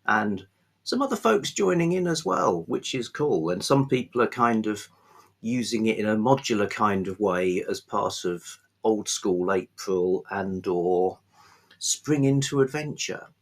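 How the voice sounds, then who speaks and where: A man with a low, slightly husky voice chats as the host of a book vlog. His delivery is unscripted and rambling. He often stops mid-phrase and picks the thought back up at an informal, conversational level. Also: lively intonation, measured pace. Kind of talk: casual unscripted book chat.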